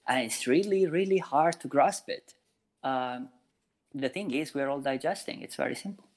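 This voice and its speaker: Thoughtful man in his forties, plain pitch. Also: normal speed, darkish tone. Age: 40s. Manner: thoughtful, explains with everyday examples, frequent restarts. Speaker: meditation teacher and flute maker. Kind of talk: casual interview answers.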